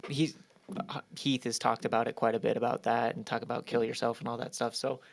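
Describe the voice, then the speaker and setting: A man hosts a studio podcast with a mid-pitched voice, egging his guest on like an old friend. He talks in a pumped-up, buddy-like way and swears without a second thought. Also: level tone, a little fast, creaky. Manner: hyped, buddy-like, swears freely. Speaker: podcast host. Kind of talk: casual podcast chat.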